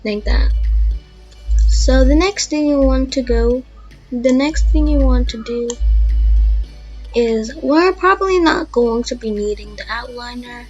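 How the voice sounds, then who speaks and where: Female tutorial host, unhurried and informal, thinking aloud step by step; dull lo-fi mic with music underneath. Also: a little high, darkish tone. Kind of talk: casual voiced software tutorial.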